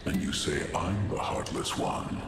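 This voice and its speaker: A man's voice: a little slow, heavy background noise, natural voice. A man voicing a haughty, embittered tyrant in a fantasy video game, with a condescending, accusing delivery. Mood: scornful. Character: tyrant villain, embittered brother of the hero, coldly haughty. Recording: echoey space with music behind.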